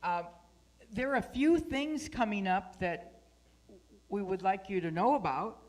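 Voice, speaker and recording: A woman's voice: mid-range voice, expressive, unhurried. A woman giving live spoken announcements to a congregation, speaking at a microphone in a meeting room.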